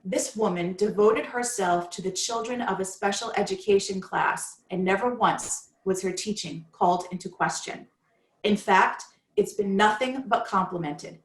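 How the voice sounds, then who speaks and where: A woman giving a dramatic, measured reading of a court opinion. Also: mid-range voice, echoey room, poor audio. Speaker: union staff reading a court opinion aloud. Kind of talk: dramatic reading of a legal ruling.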